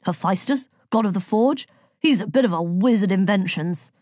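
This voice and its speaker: Woman acting storybook Greek god, male, amiable, old-fashioned British gent, jovial, gentle, dry sound. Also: mid-range voice, expressive, moderate pace, dry recording, lightly acted. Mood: amiable, chatty. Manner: genial, old-fashioned British turns of phrase. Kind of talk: audiobook character dialogue.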